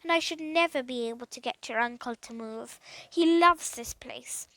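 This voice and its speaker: A woman reading as a worried, high-voiced aunt. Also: moderate pace, fairly bright tone, no room sound. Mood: resigned. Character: worried, tired, kindly middle-aged aunt. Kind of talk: children's storybook read aloud.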